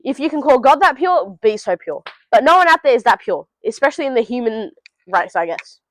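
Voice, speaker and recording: A woman's voice: fairly high pitch, big pitch swings, a little fast, no room sound. Blunt teen girl, dry sound. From Australia. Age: teens. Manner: blunt, swears freely, talks straight to the viewer. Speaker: vlogger. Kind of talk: casual advice monologue to camera. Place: at home.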